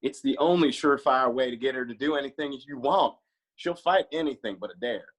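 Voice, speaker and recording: A man's voice: mid-range voice, fairly quick, close to an everyday voice, rough recording. A man playing a goofy, teasing cowboy bar worker in a screenplay table read, jokey and folksy. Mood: folksy, wry.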